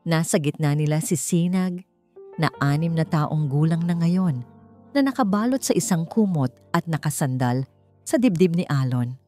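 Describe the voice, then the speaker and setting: Female narrator, Filipino accent, soft dark tone, scripted love-story reading, light music underneath. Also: low voice, expressive, moderate pace. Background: background music.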